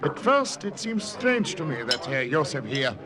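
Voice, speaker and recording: An actor playing a suspicious, domineering German army captain with a German accent and slyly jovial menace, in 1950 radio drama style. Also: male voice, a little high, big pitch swings, unhurried, crowd noise. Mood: sly, probing. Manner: impatient, teasing, insinuating, with sly chuckles. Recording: vintage radio recording with music.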